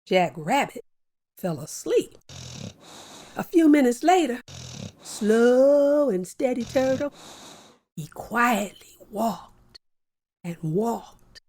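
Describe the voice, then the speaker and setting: Slow, animated woman telling children's stories. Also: fairly high pitch. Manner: warm animated storyteller with slow deliberate pacing. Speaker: storyteller host. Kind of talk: children's storytelling. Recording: soft music bed behind.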